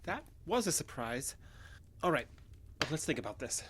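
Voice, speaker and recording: An actress playing a guarded, evasive wounded character who hides the truth with hesitant speech in an audio drama. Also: female voice, low-pitched, big pitch swings, moderate pace, slight background noise. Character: guarded, evasive wounded alien passing as human. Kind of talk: naturalistic audio drama acting.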